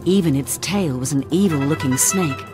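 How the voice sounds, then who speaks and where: A woman narrating a children's read-along storybook in an expressive, dramatic and deliberate way. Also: sits low, over loud music.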